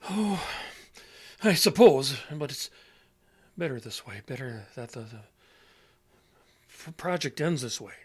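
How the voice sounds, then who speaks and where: A man's voice: mid-range voice, very animated, slow, long pauses, slightly rough, exaggerated voice. An actor playing a confused, reluctant elderly man with failing memory in a horror audio drama, stammering and repeating himself. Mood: resigned, uneasy. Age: older.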